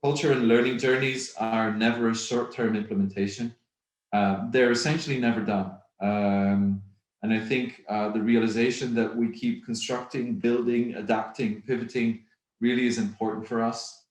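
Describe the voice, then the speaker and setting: A middle-aged man from Belgium, a native Dutch speaker with a Flemish accent, presenting at a virtual conference in a measured, reflective way with many ums. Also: medium pitch, fairly even intonation. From Belgium (Flanders). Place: home office, indoors. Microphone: video call. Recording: roomy video-call audio.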